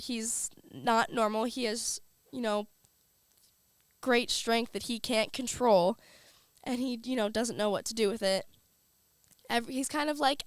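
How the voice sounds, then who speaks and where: A young woman hosts her radio show while battling a cold, talking in a loose, chatty way. Her voice sits fairly high and sounds smooth and clear. The studio sound is dry and close to the mic. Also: dry recording. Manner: chatty, uses filler words like "um" and "like". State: has a cold. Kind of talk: casual radio talk. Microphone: studio microphone.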